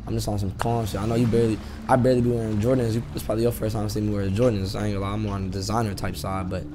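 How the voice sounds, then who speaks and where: A man's voice: lowish voice, flat delivery, rapid-fire. A man streaming a roleplay video game, chatting casually in slangy, profane street talk over noisy audio. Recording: noisy, vehicle sounds behind voice.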